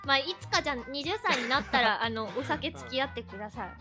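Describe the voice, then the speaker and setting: A woman's voice: sits high, animated, fast talker. A woman with a cutesy, giggly manner hosting a casual livestream chat. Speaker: streamer, hosting a call-in guest. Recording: background music under the voice.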